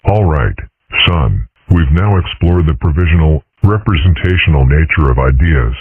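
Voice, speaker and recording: A man voicing a calm, philosophical cartoon father in a comic strip dub, speaking in a measured, formal, professorial way with a husky voice. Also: a bass voice, a little hiss or hum. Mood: lecturing, calm. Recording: muffled phone-like lo-fi recording.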